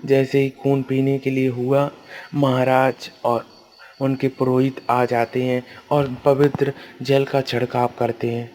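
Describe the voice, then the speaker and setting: A man's voice: medium pitch, flat delivery, measured pace, darkish tone, slight background noise. A man narrating a cartoon moral tale as a scripted storyteller.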